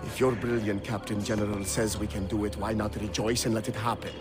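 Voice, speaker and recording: Low-voiced smooth schemer played by a man. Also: little pitch movement, fairly quick, music in the background, natural voice. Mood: persuasive. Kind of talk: video game acting.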